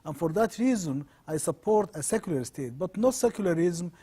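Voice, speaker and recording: A middle-aged man from Sudan, a law professor answering interview questions in measured, earnest explanations. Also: sits high, lively intonation, dark tone, slightly rough.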